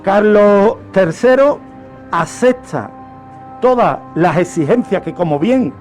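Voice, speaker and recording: High-pitched, measured Spanish man telling history. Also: expressive, darkish tone. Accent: Spanish from Spain. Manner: emphatic, repeats phrases for effect. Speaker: radio history commentator. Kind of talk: animated historical storytelling on a radio talk show. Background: faint music.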